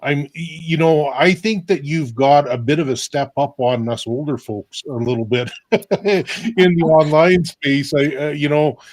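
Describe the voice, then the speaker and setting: A middle-aged man hosts a casual online group chat, his voice somewhat high for a man. He talks in loose, rambling runs, circling back and repeating words. The sound is close and dry, picked up through a video call mic. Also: measured pace, no echo. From Canada. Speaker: host.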